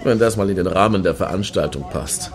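An actor playing a crude, grumbling, pedantic clubgoer in a satirical radio play, blunt and opinionated. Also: male voice, deep voice, fairly quick.

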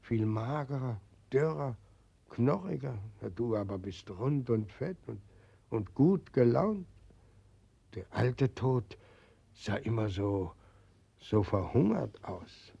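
A weeping old forgotten god. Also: male voice, medium pitch, lively intonation, slow, creaky, warm tone, slightly rough, heavily acted. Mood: bitter. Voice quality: tearful, lamenting. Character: weeping old God whom no one believes in. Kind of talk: 1940s radio drama acting. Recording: dull lo-fi 1940s radio recording.

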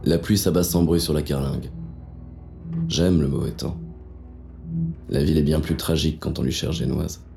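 An actor playing a cynical, contemptuous police inspector who narrates a noir audio drama in a deadpan, sardonic, gravelly voice. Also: male voice, rumbling low, moderate pace, long pauses, faint music behind. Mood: brooding, smug.

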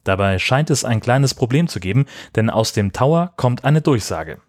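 A man's voice: mid-range voice, expressive, normal speed, a little mellow, dry recording, studio quality. A man co-hosting a podcast, reading a scripted retelling of a film. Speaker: podcast co-host. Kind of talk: scripted film recap narration.

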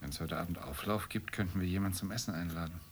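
An actor playing a weary, grumbling journalist husband from middle-class Rome, terse and morose, in a radio play. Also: male voice, deep voice, fairly even intonation, quick pace, soft background music. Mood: grumpy. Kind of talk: radio play dialogue acting.

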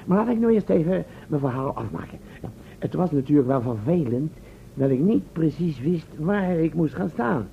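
Casting: cheeky, high-pitched braggart adventurer for a radio serial, colloquial storyteller; tinny, hissy old recording. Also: male voice, animated, moderate pace, creaky. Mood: breezy. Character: breezy, boastful male time-travel test subject, unschooled. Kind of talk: radio play acting.

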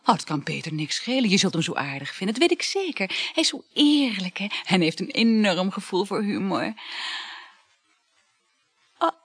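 Lively, husky-voiced flirtatious married woman. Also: medium pitch, normal speed, no room sound, studio quality. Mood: tired, affectionate. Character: chatty, flirtatious married woman juggling several lovers. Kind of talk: Dutch radio play acting.

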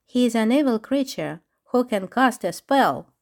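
A small bewitched wooden doll calls out warnings in a loud, slightly raised voice, as a woman narrator puts her on. She sounds urgent and frightened in a storybook. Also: fairly high pitch, expressive, a little slow, vocal fry, voice pushed up.

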